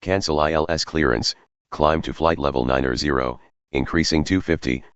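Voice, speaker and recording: A man's voice: rumbling low, normal speed, fairly bright tone, clear, resonant tone, no room sound. A man giving clipped aviation phraseology over an air traffic radio transmission. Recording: dull, band-limited air traffic radio.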